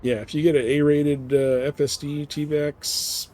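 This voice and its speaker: A man's voice: a little high, little pitch movement, measured pace, darkish tone. A man hosting a live game stream, chatting casually with viewers and explaining things in colloquial language. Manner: colloquial, explanatory, giving advice. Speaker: livestream host.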